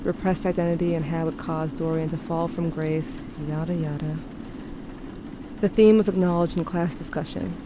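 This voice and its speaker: A woman plays a guarded teenager narrating an audio drama over clear background noise. The voice is on the low side, introspective and wry, confessing grief and guilt as if to someone lost. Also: fairly even intonation, normal speed, lightly acted. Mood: wry, reflective. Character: guilt-haunted, guarded teenage narrator grieving a dying loved one.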